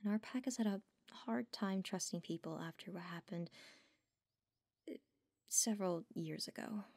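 A woman's voice: medium pitch, normal speed, a little mellow, no echo, studio quality, lightly acted. A woman playing a friendly, candid female werewolf packmate in an audio drama. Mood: gently explaining.

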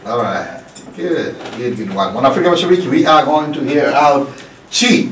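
A man's voice: medium pitch, animated, moderate pace. East African male host, roomy sound. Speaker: radio host, interviewer. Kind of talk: casual radio interview. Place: small radio studio. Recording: roomy, distant, faint room noise.

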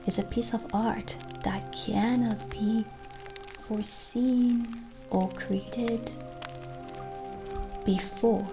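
Soft asmr lady as a kindly witch, real slow and soothing, bit of vocal fry. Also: on the high side, fairly even intonation. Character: kindly witch shopkeeper giving gentle guidance. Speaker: ASMR roleplay performer. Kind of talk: soft-spoken ASMR roleplay. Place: small candlelit indoor set. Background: soft background music.

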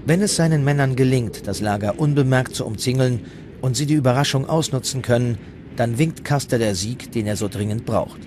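A man's voice: medium pitch, a little fast, noisy background. A man reading scripted documentary narration as the narrator. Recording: loud music bed under the voice. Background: loud music.

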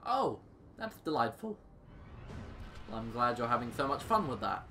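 A man's voice: medium pitch, big pitch swings, normal speed, bright, forceful tone, slight background noise. A man doing casual gameplay commentary, sarcastic and exclaiming with mild swearing. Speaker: gaming YouTuber.

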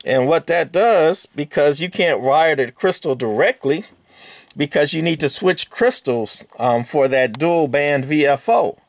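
A man's voice: a little high, unhurried, no room sound, clean studio sound. A man giving a casual hands-on walkthrough of a radio's insides at a workbench, explaining in an easygoing way with many fillers and now and then talking to the parts. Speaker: radio repair hobbyist host. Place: workbench, indoors.

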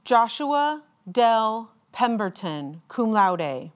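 A woman with a slightly high voice serves as a formal ceremony announcer. She reads names extremely slowly, enunciating each one deliberately and leaving measured pauses. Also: very animated. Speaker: commencement announcer reading graduates' names.

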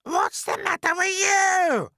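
A storybook narrator voicing a cruel, vain old aunt with a shrill, screechy voice and a snapping, theatrical delivery. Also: very animated, slow pace, pushed and bright, no room sound, clean studio sound, exaggerated voice. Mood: furious.

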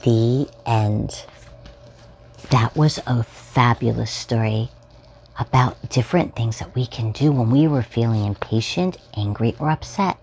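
A woman's voice: extremely low pitch, measured pace, slight background noise, poor audio. A woman in her forties reading a children's story aloud expressively, as a storytime host. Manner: expressive, addressing young children. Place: small furnished room at home, indoors.

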